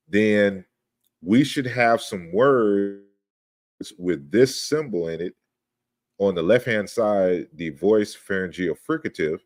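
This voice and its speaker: Man, very low voice, dry close sound, patient step-by-step lecture, slide walkthrough. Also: no echo. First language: not Yoruba. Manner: explains step by step, often checks with "right?". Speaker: lecturer on language history. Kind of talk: online lecture over slides.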